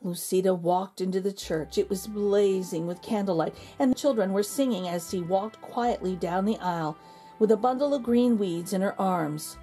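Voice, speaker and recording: A middle-aged woman hosting a children's read-aloud, narrating in an expressive, deliberate, teacherly way. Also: mid-range voice. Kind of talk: storybook narration. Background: music.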